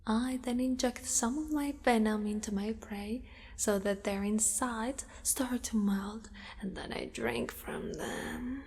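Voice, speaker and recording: Casting: woman to voice a possessive, doting spider-girl monster for ASMR roleplay, high soft breathy voice, slow coaxing delivery, cavernous reverb. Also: fairly even intonation, a little hiss or hum. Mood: matter-of-fact, eerie. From Italy. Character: possessive, doting female spider monster who kidnaps a mate.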